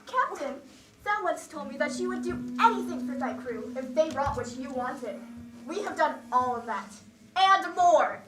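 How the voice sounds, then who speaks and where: A woman's voice: sits high, animated, measured pace. A young woman on stage playing an anxious, distrustful character with urgent, dramatic, mock-archaic speech. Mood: urgent, reproachful. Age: young adult. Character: anxious, jealous first mate and bitter, distrustful siren's voice. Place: school theater stage, recorded from far off. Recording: echoey school stage, distant microphone.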